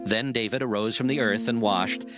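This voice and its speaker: A man narrating dramatized scripture in a measured, solemn way. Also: fairly low pitch, music in the background.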